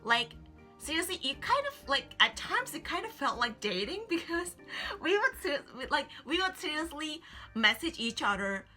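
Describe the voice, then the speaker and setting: Bright chatty woman, English. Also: high-pitched, very animated, moderate pace. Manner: chatty, many fillers like "like" and "you know". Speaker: vlogger. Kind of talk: casual advice vlog. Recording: faint music behind.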